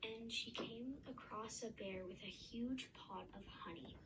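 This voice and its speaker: A woman with a fairly high voice narrates a children's fairy tale. She reads it aloud as a storyteller, with clear background noise on the recording. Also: unhurried.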